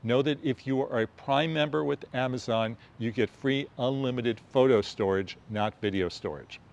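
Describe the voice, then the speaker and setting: American guy in his 60s hosting a travel tips video, mid pitched voice, punches each point in a list. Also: normal speed, creaky, darkish tone. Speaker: host of a travel photography video show. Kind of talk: presenting gear tips to camera. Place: outdoors on a quiet residential street.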